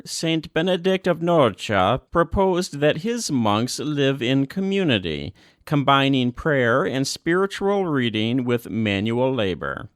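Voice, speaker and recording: Guy reading a church document out loud, pitch moves around a lot, measured phrasing, really dry close sound. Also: dry recording. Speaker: reader. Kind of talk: scripted reading of a religious document.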